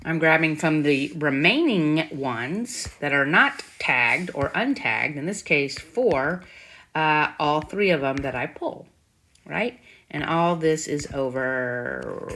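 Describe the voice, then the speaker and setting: A woman's voice: deep voice, animated, takes it slowly, fairly bright tone. A woman teacher giving an informal math tutorial, thinking aloud and often checking in with short questions. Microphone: screen-recording voice-over. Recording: faint background noise with clicks.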